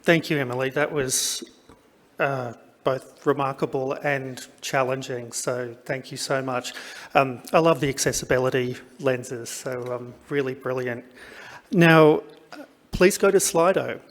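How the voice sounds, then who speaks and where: A man hosting a conference session, relaxed and with many fillers. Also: medium pitch, a little slow, long reverb tail. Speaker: event host.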